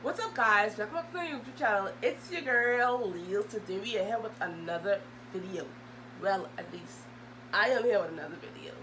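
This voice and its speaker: A woman's voice: mid-range voice, moderate pace. A young adult woman, chatting casually and hesitantly as she answers questions for a vlog. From Bahamas. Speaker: video host. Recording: loud humming background noise.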